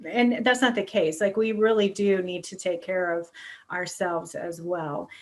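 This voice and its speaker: A woman's voice: on the low side, fairly even intonation, a little slow. A middle-aged woman hosting a podcast, talking candidly and relatably in a casual conversational style. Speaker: podcast host.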